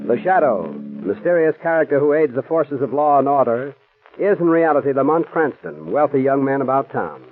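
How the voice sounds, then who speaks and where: A man's voice: mid-range voice, expressive, normal speed. A man announcing a 1940s radio drama and reading its sponsor's ad in a polished, formal way. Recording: tinny old radio broadcast with faint music.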